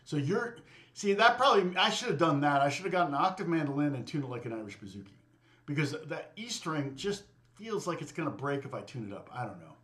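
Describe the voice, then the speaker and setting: A man's voice: a little high, big pitch swings, a bit bright. A middle-aged man, a guitar teacher, chatting casually and rambling with his live viewers, often stopping and restarting his sentences. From United States. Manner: rambling, self-interrupting, quick to laugh at himself. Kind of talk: casual livestream chat.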